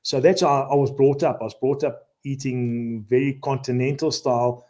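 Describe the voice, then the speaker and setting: A South African man in his fifties speaks with a warm, mellow voice at a middle pitch. He talks earnestly in a relaxed podcast conversation, often repeating a word as he gathers his thoughts. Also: normal speed. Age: 50s. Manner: earnest, repeats words while explaining. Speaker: safari outfitter guest.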